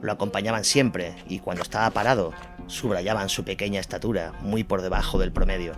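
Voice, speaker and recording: A man's voice: low voice, steady tone, fairly quick. A man reads scripted first-person narration of a war story. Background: instrumental music.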